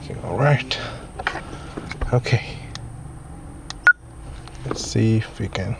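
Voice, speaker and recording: A man giving a casual, low-key and somewhat hesitant unboxing and installation walkthrough. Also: slow. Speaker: vlogger demonstrating a product. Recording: clearly noisy, vehicle ambience.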